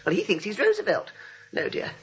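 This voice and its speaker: A man's voice: high voice, huge pitch swings, brisk, fairly bright tone, slightly rough, exaggerated voice. Exasperated guy in a 40s radio comedy, stammers, voice jumps all over, muffled old recording. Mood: frantic, earnest. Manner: stammering, flustered, emphatic. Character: frantic, exasperated male writer in a mad family. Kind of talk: 1940s radio comedy acting.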